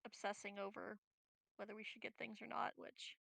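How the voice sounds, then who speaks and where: Casting: female game player, hesitant and casual, narrow pitch range, gravelly edge, dry close recording. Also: little pitch movement, normal speed, a bit bright, dry recording. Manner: hesitant, tentative. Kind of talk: casual chat.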